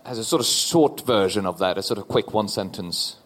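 A man in his forties from Norway, a panel guest telling a personal story in a thoughtful, halting way on stage before an audience. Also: on the low side. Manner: thoughtful, halting with repeated restarts.

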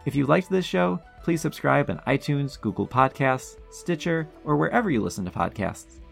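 A man's voice: on the high side, expressive, moderate pace, vocal fry, faint music behind. An American man hosting a podcast, talking in a conversational way. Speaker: podcast host. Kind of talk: conversational interviewing.

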